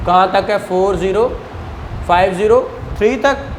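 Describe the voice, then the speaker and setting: A man's voice: sits high, normal speed, slight background noise. A man with a Pakistani accent teaching law, reading statutes aloud phrase by phrase and explaining them conversationally with rhetorical questions.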